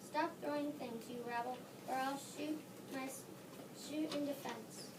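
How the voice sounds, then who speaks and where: A defiant young British sentry, read aloud by a woman, with a high voice. The reading is heard from a distance in an echoey room under loud background noise. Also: steady tone, measured pace. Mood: threatening. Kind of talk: readers theater reading.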